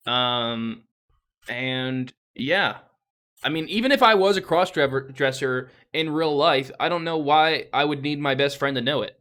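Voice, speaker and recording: Blunt, bright-voiced young man. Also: mid-range voice. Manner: blunt, opinionated, swears freely. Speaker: podcast co-host. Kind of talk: casual anime review chat.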